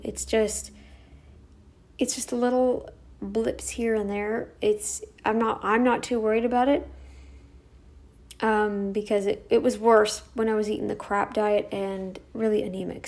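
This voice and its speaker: Slow, gravelly-voiced young woman vlogger. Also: fairly high pitch, fairly even intonation. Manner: rambling, halting with restarts. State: croaky voice. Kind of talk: casual talking-head vlog.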